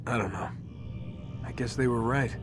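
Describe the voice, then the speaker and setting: A man's voice: mid-range voice, normal speed, music in the background, lightly acted. An actor playing a wry, guarded American man in his twenties in a video game, with a sardonic, casual delivery. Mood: guarded, bitter. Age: 20s. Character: wry, guarded young man, captive runaway assassin. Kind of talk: naturalistic video game acting.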